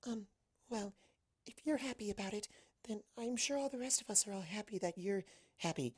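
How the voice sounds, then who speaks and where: A woman voicing a timid, gentle young mare in a storybook reading, hesitant and soft-spoken. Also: medium pitch, a little fast, husky, pitched above the performer's own voice, lightly acted.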